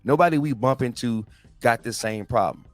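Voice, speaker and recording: A man's voice: medium pitch, moderate pace, no echo, faint music behind. A man in his forties giving a casual, slangy motivational talk as a podcast host, speaking directly to his listeners. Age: 40s. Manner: conversational, slangy, addresses listeners as guys.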